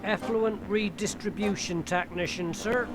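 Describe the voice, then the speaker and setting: A high-voiced, aggrieved workman. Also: fairly even intonation, a little slow. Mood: meekly polite. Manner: martyred, wounded politeness. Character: aggrieved, self-pitying, servile workman of lowly trade. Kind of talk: adventure game voice acting. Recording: game music behind the voice.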